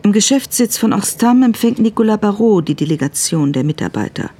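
A woman's voice: mid-range voice, animated, a little fast, dark tone, breathy edge. A woman narrating a crime radio drama as a sober observer, reading scripted prose.

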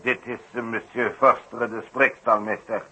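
A very sharp, bright male voice plays a formal circus delegate. He is polite, measured and deferential, at medium pitch, in old radio drama style. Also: flat delivery, a little hiss or hum, low-quality recording. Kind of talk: 1960s Dutch radio drama acting.

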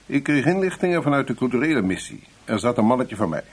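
An actor playing a curt, irritable intelligence chief in a Dutch radio play, giving orders in a businesslike, exasperated way. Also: male voice, medium pitch, sing-song, fast, slightly rough. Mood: grave, businesslike.

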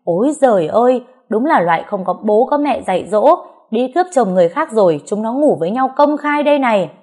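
Burly female street bully for audio story, played by a woman narrator: taunting, threatening, loud and coarse. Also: medium pitch, lively intonation, fairly quick, lightly acted. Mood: loud, accusing. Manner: taunting, threatening, barking rhetorical questions. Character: burly thuggish woman bully, menacing and foul-mouthed.

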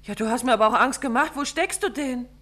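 An actress playing a detective's wife in a 1950s radio play, worried and probing, with a quick, searching manner. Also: female voice, a little high, noisy background, natural voice. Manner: anxious, probing.

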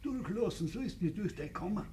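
An actor playing a tipsy, grumbling Bavarian farmer in broad dialect in a 1950s radio play. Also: male voice, high-pitched, lively intonation, moderate pace, warm tone. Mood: furtive, pleading. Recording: noisy old 1950s radio recording.

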